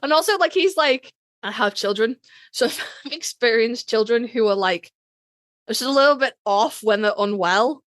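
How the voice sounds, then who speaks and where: A woman's voice: on the high side, lively intonation, moderate pace, fairly bright tone, dry recording. A woman co-hosting a casual fan podcast, chatting in a sweary, gushing, self-mocking way. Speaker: podcast co-host.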